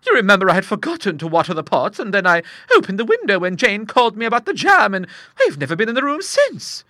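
A man voicing an excitable elderly spinster with a high, thin voice and breathless, exclamatory manner. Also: fast, no echo, studio quality, full character voice. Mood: fretful. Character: excitable elderly spinster woman, nervous and indignant.

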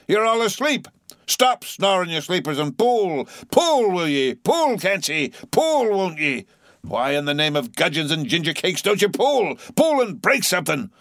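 A man's voice: sits high, fairly bright tone, no room sound, clean studio sound, voice pushed up, exaggerated voice. A man reading aloud, voicing a jovial, drawling ship's mate who coaxes and scolds his rowers. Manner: coaxing, jovial, drawling exhortations. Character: blustering cheery mate urging rowers, man.

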